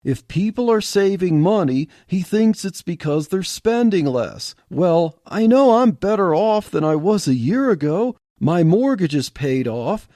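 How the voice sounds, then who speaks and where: A man voicing an excitable, indignant everyday man in an audio story, exclaiming and pushing rising questions. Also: sits high, no room sound, clean studio sound, voice pushed up.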